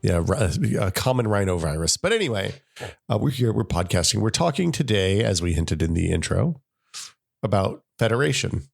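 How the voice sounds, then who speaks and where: A man's voice: lowish voice, very animated, normal speed, creaky. A man co-hosting a casual tech podcast, sounding scratchy from a summer cold, rambling with many restarts and fillers. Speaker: podcast co-host.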